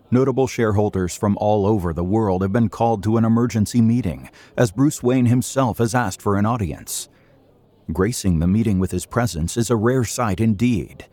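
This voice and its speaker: A man's voice: on the low side, warm tone. A man narrating an audio drama from a script as its storyteller.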